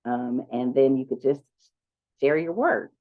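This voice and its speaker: A woman moderating an online conference panel, speaking in a relaxed, chatty way with lots of ums. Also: a bass voice, a little slow. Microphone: video call. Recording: dull lo-fi video call audio.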